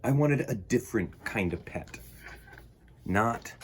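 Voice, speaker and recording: A man hosting story time, reading a children's picture book aloud in an expressive, emphatic way and talking directly to young listeners. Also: on the low side, takes it slowly, slight background noise.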